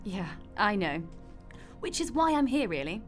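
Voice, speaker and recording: A woman's voice: medium pitch, sing-song, unhurried, soft background music. A woman in a radio drama playing God as a casual, matter-of-fact, wry mother figure. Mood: explanatory, apologetic.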